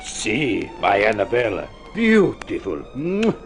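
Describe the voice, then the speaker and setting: A man's voice: fairly low pitch, wildly swooping pitch, slow pace, music in the background, exaggerated voice. A courtly old-time radio mayor with a Spanish accent. His male voice rises and falls expansively as he speaks ceremonious, broken English, effusive and fatherly. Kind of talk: 1950s radio drama acting.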